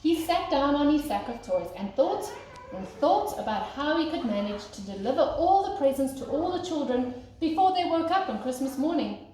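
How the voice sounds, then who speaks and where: A woman's voice: high voice, expressive, normal speed, warm tone. A woman teacher reading a children's storybook aloud expressively, as if to young listeners. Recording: very echoey room, faint noise.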